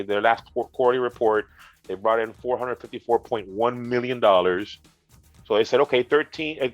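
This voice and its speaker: A congested man co-hosting a gaming news chat, making dry, deadpan jokes as he explains the news. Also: medium pitch, dry recording, lo-fi sound. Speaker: co-host, retro gaming specialist.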